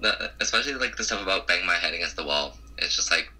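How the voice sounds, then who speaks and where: A man chatting casually as a caller on speakerphone, speaking in a hesitant, halting way. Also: sits low, expressive, bright, forceful tone, husky, poor audio. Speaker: coaching student, caller. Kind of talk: casual chat. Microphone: phone call on speakerphone.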